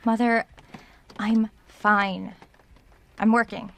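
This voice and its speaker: A woman's voice: medium pitch, level tone, extremely slow, gravelly, noisy background. An actress in an audio drama playing a stubborn, defensive woman novelist around thirty, with a clipped manner. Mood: irritated. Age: young adult.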